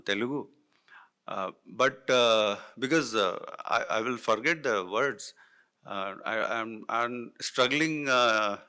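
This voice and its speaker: A man's voice: on the low side, sharp tone, clean tone. A middle-aged man from north India, a native Hindi speaker with an Indian English accent, giving unscripted panel remarks full of fillers and restarts. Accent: Indian English, Hindi-influenced. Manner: halting, many fillers and restarts.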